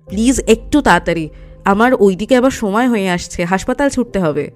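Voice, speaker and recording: A woman's voice: medium pitch, lively intonation, brisk, faint music behind, close to an everyday voice. A woman playing a busy clinic doctor in an audio drama, matter-of-fact and instructing, reassuring yet a little stern. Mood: impatient, scolding. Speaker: voice actor. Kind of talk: audio story dramatic acting.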